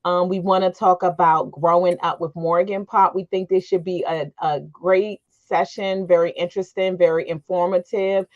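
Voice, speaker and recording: A woman's voice: fairly low pitch, steady tone, moderate pace, hardly any pauses, a little mellow. A middle-aged American woman hosting an informal panel discussion. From Washington DC area, United States. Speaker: host. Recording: dull, lo-fi call audio.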